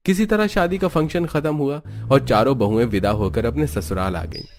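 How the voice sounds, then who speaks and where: A man's voice: mid-range voice, moderate pace, darkish tone, slight background noise. A man reading scripted storybook narration for a cartoon family tale.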